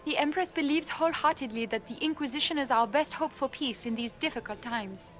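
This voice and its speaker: A woman's voice: high voice, fairly quick, faint music behind, natural voice. An actress playing a formal, eager lady-in-waiting to an empress in a fantasy game, politely proclaiming. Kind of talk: video game dramatic acting.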